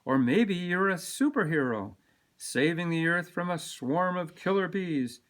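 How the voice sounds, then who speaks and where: A man reads as a reassuring storybook dad talking to his little boy. He is gentle and encouraging, with lively, varied intonation. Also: high-pitched, measured pace. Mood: gentle, coaxing. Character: calm, reassuring father of a small boy.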